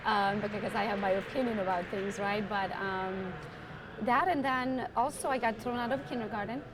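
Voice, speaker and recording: A woman's voice: medium pitch, moderate pace, a crowd in the background, low-quality recording. A woman in her forties from Transylvania, Romania, a podcast guest telling stories in a chatty way and often tagging on "right?". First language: Hungarian. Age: 40s. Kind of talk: casual interview chat. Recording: loud background noise.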